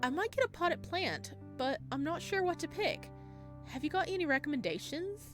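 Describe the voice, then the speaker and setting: A woman's voice: very high-pitched, animated, normal speed, music in the background, pitched above the performer's own voice. A woman voicing a friendly, apologetic customer in a visual novel, casual and polite in manner. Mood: friendly, unsure.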